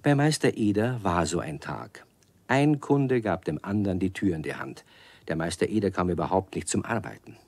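An actor narrating a children's radio play as a storyteller in scripted storybook style. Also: male voice, low voice, lively intonation, moderate pace, darkish tone, no room sound.

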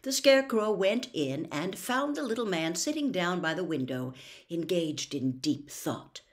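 An older woman reading a classic novel aloud at home, expressive and animated. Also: fairly low pitch, unhurried. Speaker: storyteller. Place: home room with bookshelves. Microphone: webcam close up.

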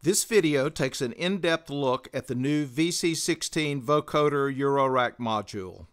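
A man's voice: mid-range voice, a little slow. A man presenting a tutorial in methodical, scripted explanatory narration. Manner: methodical, instructional.

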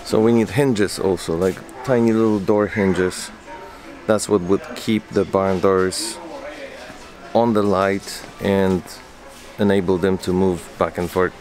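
A man's voice: low-pitched, steady tone, slow pace, darkish tone, soft background music. A man in his forties chatting casually to camera for a daily vlog, explaining his project as he goes, often restarting sentences. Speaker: vlogger. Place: indoors, garage workshop and stores.

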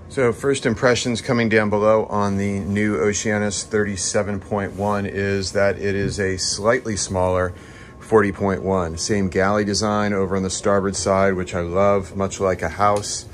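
Low-voiced man giving a boat tour. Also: slight background noise. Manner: enthusiastic salesman, relaxed, with frequent fillers. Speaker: yacht broker. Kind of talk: casual walkthrough tour. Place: on a sailboat at a dockside boat show, outdoors and in the cabin. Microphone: handheld phone camera.

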